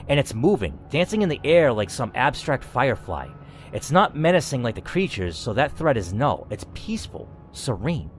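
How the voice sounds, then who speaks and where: A man's voice: mid-range voice, slight background noise. A man giving a dramatic, theatrical reading of a scripted story, as a gaming host narrating aloud. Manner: theatrical, expressive reading with asides.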